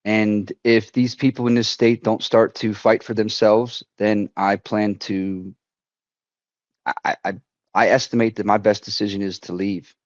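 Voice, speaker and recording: Man, veteran, halting storyteller, muffled dry call audio. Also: fairly low pitch, level tone, no room sound. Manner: halting, restarts often, quotes others. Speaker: veteran speaking at a community council. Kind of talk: personal story told to an online forum.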